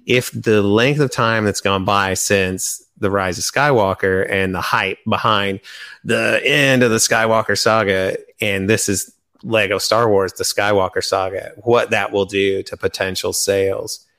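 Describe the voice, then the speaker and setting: A man's voice: lowish voice, fairly even intonation, measured pace, fairly bright tone. A man chatting casually about fan topics as a podcast host.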